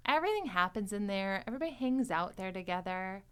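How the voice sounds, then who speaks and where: A woman hosts a casual podcast discussion in a mid-pitched voice. She talks expressively and with plenty of enthusiasm, in an informal, chatty way. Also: lively intonation, fast, a bit bright, clear, resonant tone. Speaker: podcast host.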